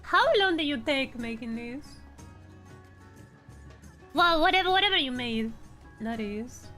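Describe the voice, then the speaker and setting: High-voiced swearing streamer woman. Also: animated, unhurried, pushed and bright, faint music behind. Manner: playful, swearing, teasing. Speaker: VTuber streamer. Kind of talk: casual stream chat with a guest.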